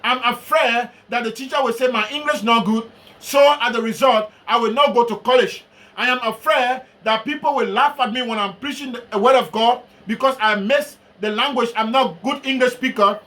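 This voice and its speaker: High, bright Liberian man preaching. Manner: slow, emphatic delivery with Bible references. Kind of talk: sermon.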